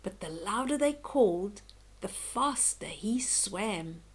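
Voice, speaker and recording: An older woman reading a children's bedtime story aloud in a gentle, expressive, dramatic way. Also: mid-range voice, husky. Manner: slow, gentle, dramatic, with hushed whispery moments.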